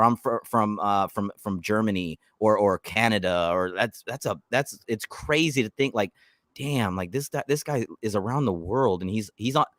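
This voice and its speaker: A man hosting a casual live stream about his football team, talking in animated bursts and often repeating words as he restarts. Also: fairly low pitch, no room sound, studio quality. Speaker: YouTube channel host.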